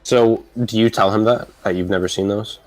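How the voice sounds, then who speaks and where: A man who narrates as game master in a loose, rambling, halting way. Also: lowish voice, fairly even intonation, darkish tone, low-quality recording.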